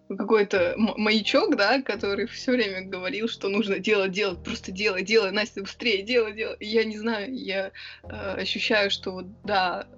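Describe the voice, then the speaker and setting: A young woman answers interview questions in a chatty, rambling way, stopping often on filler sounds, her pitch sitting right in the middle. The recording is a little dull, with faint music under her voice. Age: young adult. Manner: chatty and quick, with many hesitation sounds. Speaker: interview guest, writer and club organizer. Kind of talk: casual conversational interview.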